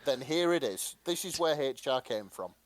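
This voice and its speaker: Male co-host, mid pitch, very varied intonation, casual banter and read-outs, jokey. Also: expressive, normal speed, no echo. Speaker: podcast co-host. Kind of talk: casual banter, presenting fan fiction.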